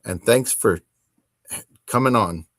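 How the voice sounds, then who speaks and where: A man hosting a hobby podcast, talking casually on his own in a rambling, halting and self-deprecating way. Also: on the low side, fairly bright tone, no echo, studio quality. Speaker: host of a tabletop wargaming podcast. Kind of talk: casual solo chat to camera.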